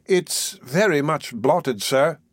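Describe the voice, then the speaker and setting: A man voicing a two-faced woman who keeps pauper children in a storybook reading, both obsequious and sharp-tongued. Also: fairly high pitch, lively intonation, slow, breathy edge, no room sound, clean studio sound, pitched above the performer's own voice, exaggerated voice. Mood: fawning. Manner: obsequious to superiors, scolding to children. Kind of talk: audiobook character acting.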